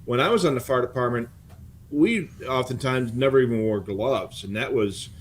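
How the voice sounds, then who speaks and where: An older man explaining things in casual, halting conversation as a co-host. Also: mid-range voice. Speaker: co-host and former firefighter. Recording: noticeable background noise.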